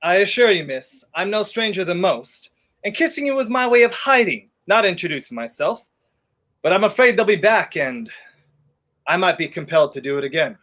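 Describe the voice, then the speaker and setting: Young male actor as a charming, urgent fugitive, 1930s radio drama, lively pitch, bright tone. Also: moderate pace. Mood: pleading, earnest. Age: 20s. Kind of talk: 1930s radio play acting.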